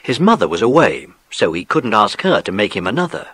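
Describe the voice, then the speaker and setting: A man's voice: on the low side, sing-song, brisk, fairly bright tone, breathy edge, dry recording. A man narrating a children's story from a script, as in an audiobook drama.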